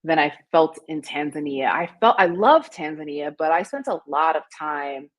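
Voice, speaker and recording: A woman's voice: low voice, normal speed. A woman from the United States, a guest in a casual interview, speaking in a reflective way with loose fillers.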